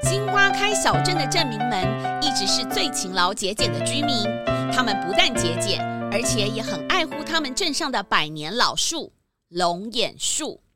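A woman narrating a children's story in an animated, explanatory, scripted style. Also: fairly high pitch, normal speed, loud music behind the voice. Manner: explanatory, expressive.

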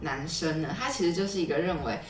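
A woman's voice: deep voice, quick pace, recorded from a distance. A young woman with a Taiwanese Mandarin accent, a podcast host telling stories in a casual, explanatory way. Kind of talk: casual conversational storytelling. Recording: roomy echo, off-mic, music underneath.

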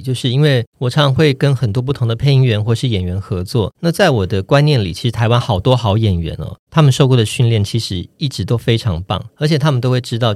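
A man's voice: medium pitch, a little mellow, no room sound, studio quality. A man with a Taiwanese Mandarin accent, explaining his craft step by step as an articulate interview guest. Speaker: voice actor and voice director, podcast guest.

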